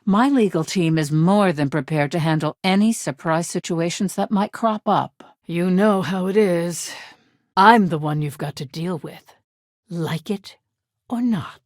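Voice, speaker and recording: Woman playing low-voiced, blunt corporate legal chief. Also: a little slow, darkish tone, dry recording, natural voice. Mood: assertive. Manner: direct, businesslike, dry. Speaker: head of a corporate legal department. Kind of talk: video game dialogue.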